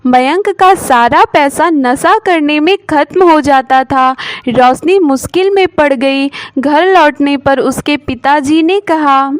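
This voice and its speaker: A woman reads a scripted story as narrator. Her voice is high and her pace slow, in a very dry recording. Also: no echo.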